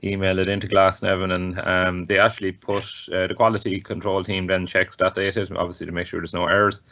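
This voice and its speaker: A man's voice: sits low, level tone, a little fast, bright, forceful tone, lo-fi sound. An Irish man chatting casually as a guest contributor, explaining things in a hesitant, conversational way. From Ireland. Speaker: guest contributor, volunteer weather observer.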